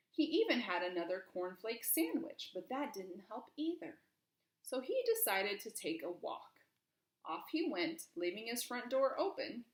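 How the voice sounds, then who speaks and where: A woman reading a children's storybook aloud expressively, with emphasis and questions put to young listeners. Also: medium pitch. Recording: roomy, echoing room.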